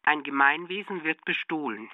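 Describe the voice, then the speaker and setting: A woman's voice: low-pitched, expressive, moderate pace, no echo, narrow, tinny audio. A woman playing a sober, curious interviewer in a radio play, putting short, pointed questions. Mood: probing.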